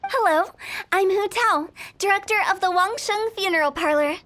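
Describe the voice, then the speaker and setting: A woman's voice: extremely high pitch, moderate pace, a bit bright. A woman voicing a playful young female funeral parlor director in a video game, teasing and breezy about death. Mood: cheerfully morbid.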